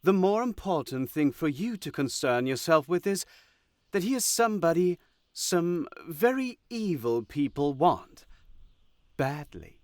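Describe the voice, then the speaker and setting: A sly, unhurried god of deception, played by a man in a fantasy audio drama. The voice sits fairly high for a male and moves slowly, with deliberate pauses. The sound is close and dry. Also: big pitch swings, a little mellow, no room sound. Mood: sly, persuasive.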